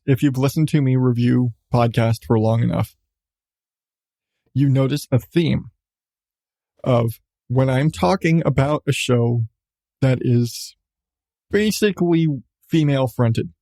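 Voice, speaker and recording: A man hosting a podcast, giving a casual, rambling review with halting starts, repeated phrases and an irreverent, sweary manner. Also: mid-range voice, dark tone, dry recording.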